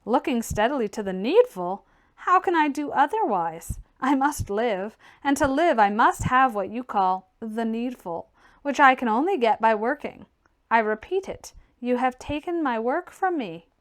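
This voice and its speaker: A woman plays a dry, stubborn young clerk of gentlemanly birth in a classic-novel audiobook. The lines are formal and curt with a sardonic edge, in a fairly high voice with lively inflection. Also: measured pace. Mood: indignant. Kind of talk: audiobook character reading.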